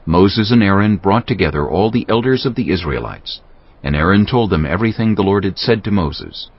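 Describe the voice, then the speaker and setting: Casting: man to narrate scripture, deep and husky with expressive melody, slightly dull recording. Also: fairly quick. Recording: muffled, lo-fi.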